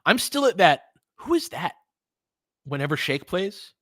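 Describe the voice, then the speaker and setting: A man's voice: high-pitched, big pitch swings, unhurried, a bit bright, no room sound, studio quality. A man doing casual live basketball commentary and chatting with viewers, informal and slangy with some swearing. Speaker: host of a fan watch-along.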